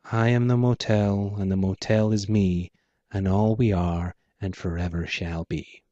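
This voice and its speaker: A man's voice: sits low, fairly even intonation, a little slow, warm tone, no echo. Man reading as an enigmatic, bitter woman of living flesh. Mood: solemn intoning. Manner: intoning, disdainful. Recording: dull muffled lo-fi audio.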